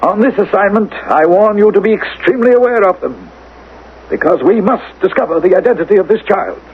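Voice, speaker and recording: A man playing a grave, commanding ruler of a future world in radio drama, speaking formally and with measured authority. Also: high voice, expressive, breathy edge, heavily acted. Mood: coldly commanding. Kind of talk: 1980s radio drama acting. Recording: narrow-band old radio recording, faint noise.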